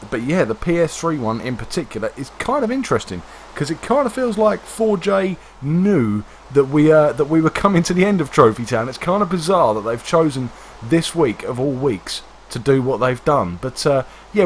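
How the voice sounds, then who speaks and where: Hoarse, congested man streaming a game. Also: medium pitch, slight background noise. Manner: chatty, rambling, many "uh" fillers. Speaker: livestream host. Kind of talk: casual gameplay commentary.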